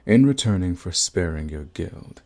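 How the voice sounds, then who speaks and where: An actor playing a suave, sadistic vampire lord in an ASMR roleplay, with a theatrical, silky, condescending manner and a husky, gravelly voice. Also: male voice, sits low, lively intonation, darkish tone. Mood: possessive, seductive. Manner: theatrical, silky, condescending endearments, drawn-out phrasing. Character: suave sadistic male vampire lord, predatory, mocking, possessive.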